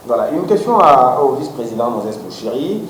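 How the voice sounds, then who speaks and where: A man hosting a formal broadcast interview from Congo, asking measured questions. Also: medium pitch, sing-song, slight background noise. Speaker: TV interview host. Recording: echoey room, mic at a distance.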